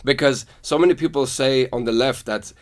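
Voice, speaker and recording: A man's voice: medium pitch, moderate pace. A young man from Sweden hosting a podcast, talking earnestly and telling personal stories in casual conversation. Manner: earnest, storytelling, animated when quoting others. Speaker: podcast host.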